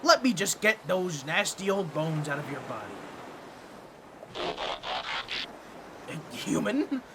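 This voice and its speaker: A man's voice: high voice, big pitch swings, normal speed, very noisy. A man voicing a boastful, scheming octopus merman with a grating, nasal, squawky voice and a pompous, awkward manner in a comedic audio roleplay. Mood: flustered, awkward. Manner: theatrical, pompous, fumbling with awkward asides.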